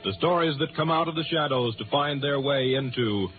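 A man's voice: medium pitch, moderate pace. A man working as a radio announcer, reading scripted show copy in a formal 1950s broadcast style. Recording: muffled 1950s radio broadcast over music. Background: music.

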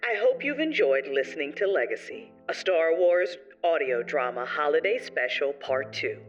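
A man's voice: on the high side, lively intonation, normal speed. A man reading scripted closing credits as an announcer. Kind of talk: scripted narration. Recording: dull, distant sound under loud music. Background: music.

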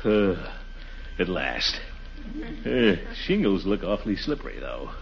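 An actor playing a pompous, excitable middle-aged householder who mutters and exclaims theatrically in a 1940s radio comedy. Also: male voice, low-pitched, unhurried, vocal fry, lo-fi sound, music in the background, exaggerated voice. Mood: nervous. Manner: muttering to himself, theatrical exclamations, chuckling bluster. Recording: noisy, band-limited 1940s radio recording.

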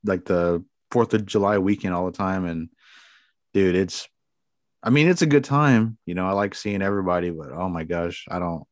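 Relaxed, friendly man in dry sound. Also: sits low, moderate pace, no room sound. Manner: relaxed, friendly, drops "man" and "dude" often. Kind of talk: casual chat.

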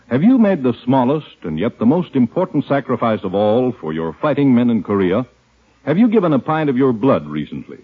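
A man's voice: mid-range voice, slightly rough. A man delivering an earnest, persuasive scripted appeal as a 1950s radio announcer. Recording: tinny, narrow-band 1950s radio recording.

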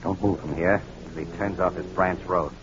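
An actor playing a tough, wisecracking newspaper photographer, brisk and slangy, in a 1940s radio crime drama. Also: male voice, low-pitched, fairly even intonation, close to an everyday voice. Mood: hard-boiled. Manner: brisk, hard-boiled, slangy. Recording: muffled, noisy old radio recording.

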